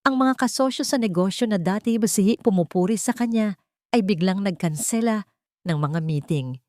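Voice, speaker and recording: A woman's voice: mid-range voice, moderate pace, darkish tone, dry recording, studio quality. A woman with a Filipino accent reading a scripted, dramatic romance story as its narrator.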